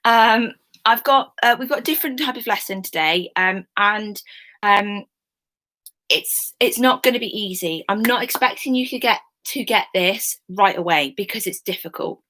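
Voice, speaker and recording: Casting note: young woman, history teacher, bright tone, a little croaky, chatty and informal. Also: medium pitch, normal speed. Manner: chatty, informal, many ums and asides. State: slightly croaky voice. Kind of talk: online class lesson.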